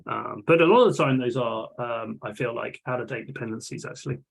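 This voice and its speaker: A man's voice: medium pitch, fairly quick, vocal fry, husky. A man talking casually in an online technical meeting, hesitant, with many restarts and fillers. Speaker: vulnerability management working group lead. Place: online video call.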